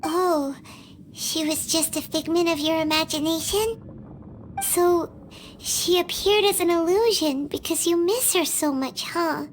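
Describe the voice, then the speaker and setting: Squeaky little companion girl. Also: measured pace, slight background noise. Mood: curious. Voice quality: squeaky, childlike. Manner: inquisitive, exclamatory. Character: tiny childlike floating girl companion, curious and chirpy. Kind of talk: video game cutscene acting. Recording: game music behind the voice.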